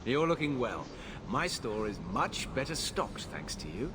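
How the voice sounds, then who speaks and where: A businesslike herbalist shopkeeper in a fantasy game. He is courteous, with a medium-pitched, lively delivery and clear noise on the capture. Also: unhurried. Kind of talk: video game voice acting. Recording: noisy game audio capture.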